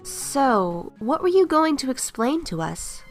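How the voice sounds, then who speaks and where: A woman playing a polite, expressive young traveller in an audio drama. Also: sits high, slow pace, faint music behind, heavily acted. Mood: grateful, curious.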